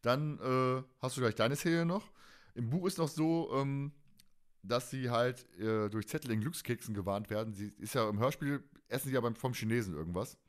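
A man's voice: medium pitch, normal speed. A man hosting a podcast in casual, colloquial, jokey chat. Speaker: podcast host.

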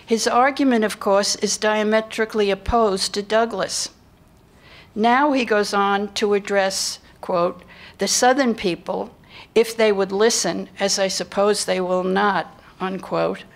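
A woman's voice: mid-range voice, steady tone, measured pace. An older woman reading scripted historical narration into a lectern microphone. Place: indoor hall with seated audience.

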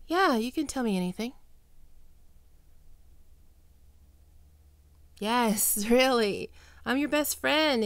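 Casting: woman to play anxious, soft-spoken ghoul girl best friend for intimate audio roleplay; higher-pitched, unhurried, halting, emotional delivery. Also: no room sound. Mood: caring, teasing. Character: young female ghoul, guilt-ridden, tender, anxious best friend. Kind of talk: intimate ASMR roleplay acting.

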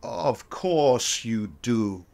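A kindly older photographer from Denmark in a period audio drama. He is polite and gentle, easing his client into a portrait sitting. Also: mid-range voice, animated, extremely slow, creaky, heavily acted.

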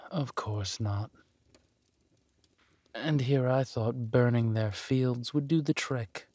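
A man playing a ruthless, haughty duke in a villain audio drama, drawling deliberately and with menace. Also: mid-range voice, no room sound, natural voice. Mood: smug, scornful. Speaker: voice actor.

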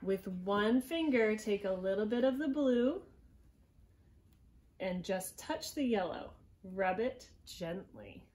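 A woman in her thirties reading a picture book aloud to young children at home, gently encouraging them and prompting with questions. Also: medium pitch, lively intonation, slow pace. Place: small living room at home, indoors.

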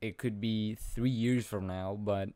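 An eighteen-year-old man from Iceland hosts a relaxed home Q&A, speaking slowly in a somewhat low voice. He rambles, loses his thread, and laughs at himself between ums. The sound is dry and close, from a studio mic in a small room. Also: no room sound. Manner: rambling, self-deprecating, lots of ums and laughs. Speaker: channel host answering viewer questions. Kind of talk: casual Q&A chat. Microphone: studio condenser mic with pop filter, wearing headphones.

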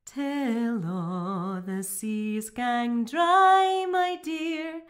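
A woman singing a slow folk love song. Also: fairly high pitch, faint music behind.

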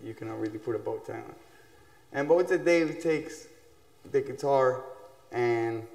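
A young man from Ecuador, a musician, chatting in a relaxed, loose way in a small dark room. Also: mid-range voice. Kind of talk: casual interview talk. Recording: echoey room with long reverb.

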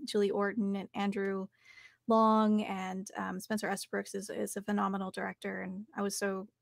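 A young woman with a Canadian accent chats casually as a guest in an interview. Her voice sits at an ordinary pitch for a woman. The sound is dry, close and free of room echo. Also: vocal fry. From Calgary, Canada. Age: young adult. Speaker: interview guest, actor and voice artist. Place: small home studio, video call.

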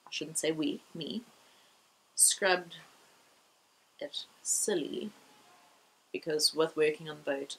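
A woman's voice: deep voice, vocal fry, a little hiss or hum, poor audio. A young woman chatting casually to the camera outdoors at a marina, in a laid-back, self-correcting way. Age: young adult. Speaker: sailing vlogger. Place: outdoors at a marina café table.

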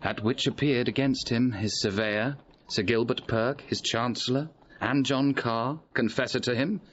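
An actor playing a grave gentleman recounting court news in measured Shakespearean drama style. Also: medium pitch, dull audio, natural voice. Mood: grave, informative. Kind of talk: Shakespearean radio drama acting.

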